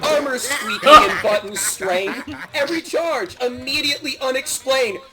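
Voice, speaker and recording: A man loudly declaiming mock-heroic slam poetry in drawn-out lines, shouting it out during an online game. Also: extremely high pitch, animated, poor audio. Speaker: video game player reciting a mock poem. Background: loud music.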